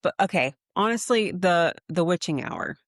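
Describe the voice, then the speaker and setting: A woman's voice: on the low side, animated, moderate pace, vocal fry, no room sound, clean studio sound. A woman and podcast co-host chatting on a video call, rambling and often restarting her sentences. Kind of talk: casual podcast chat.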